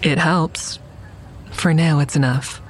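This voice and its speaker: Low-voiced young woman narrating an audio drama. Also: fairly even intonation, slow pace, slight background noise, rough recording. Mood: intimate narration. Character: curious, playfully teasing woman narrating her night out. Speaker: narrator and lead character. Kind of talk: audio drama first-person narration and natural dialogue. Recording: faint music behind the voice.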